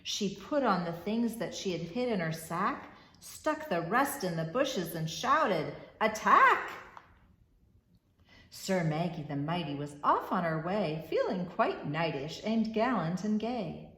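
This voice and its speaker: A woman reading a rhyming children's storybook aloud as storyteller, expressive and measured in a rhythmic way. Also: on the low side, reverberant.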